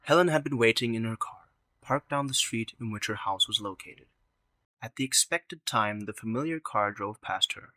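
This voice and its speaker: A man's voice: fairly low pitch, moderate pace, bright, forceful tone, dry recording, poor audio. A man narrating a scripted story as the narrator of an audio drama.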